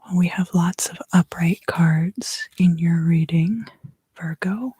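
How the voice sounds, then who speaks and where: A woman giving an ASMR tarot reading in a hushed, soothing, husky voice that often slips into a whisper, close to the microphone. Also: lowish voice, no room sound. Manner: slow, soothing, hushed guided delivery. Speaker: tarot card reader and host.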